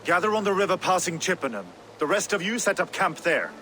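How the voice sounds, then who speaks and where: High-ish fierce Viking warlord. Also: male voice, expressive, brisk, a little hiss or hum, rough recording. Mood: scheming, scornful. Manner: grandiose, theatrical, forceful turns of phrase. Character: fierce, vengeful middle-aged male Viking warlord, weary and reflective. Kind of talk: dramatic video game acting.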